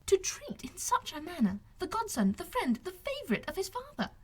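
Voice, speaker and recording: A woman voicing a spirited young gentlewoman in an audiobook, speaking in emphatic, formal period phrases. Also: extremely high pitch, wildly swooping pitch, brisk, pitched above the performer's own voice, heavily acted. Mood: indignant. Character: spirited young gentlewoman, shocked and indignant, easily sympathetic. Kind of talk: audiobook character dialogue.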